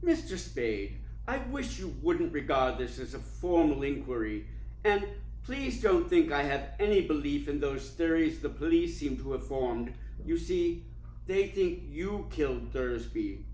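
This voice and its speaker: A man's voice: a little high, sing-song, a little slow, darkish tone, a little hiss or hum. A man playing an officious, self-important district attorney in a radio play, formal and pressing in his delivery. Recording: echoey room.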